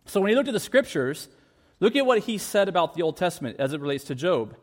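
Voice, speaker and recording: Earnest pastor with a higher voice. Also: male voice, sing-song, fast talker. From United States. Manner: earnest, with emphatic repetition. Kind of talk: sermon.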